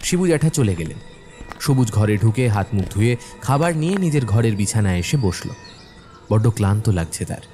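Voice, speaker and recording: A man's voice: lowish voice, expressive, fairly quick, warm tone, soft background music. A man narrating a scripted horror story as its storyteller.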